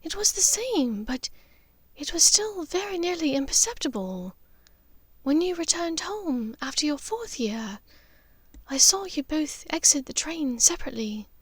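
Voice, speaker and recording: A woman voicing a gentle, knowing aristocratic witch mother in an audiobook, speaking softly and wistfully with small hesitations. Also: high-pitched, no room sound, pitched above the performer's own voice, exaggerated voice. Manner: soft, hesitant, wistful, breathy at times.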